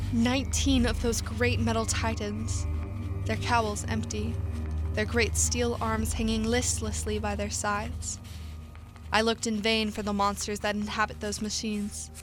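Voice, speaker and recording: A woman playing a solemn, weary professor who survived an invasion, narrating in a measured, literary way for a radio drama. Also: a little high, natural voice. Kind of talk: scripted radio drama monologue.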